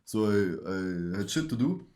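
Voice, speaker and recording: A young man talking casually as a podcast guest, in relaxed, hesitant non-native English with restarts. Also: on the low side, normal speed. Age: young adult. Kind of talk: casual chat.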